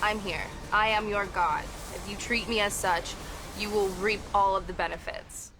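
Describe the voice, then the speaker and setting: A young actress in a behind-the-scenes interview, talking in a bubbly, excitable way. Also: female voice, medium pitch, moderate pace, background noise. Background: music.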